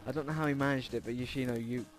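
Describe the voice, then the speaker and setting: A man's voice: medium pitch, steady tone, rapid-fire, low-quality recording. Man reading a game aloud over music. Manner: informal, stumbles over words while reading. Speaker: let's player reading a visual novel aloud. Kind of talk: casual read-aloud game narration with asides. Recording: music behind the voice.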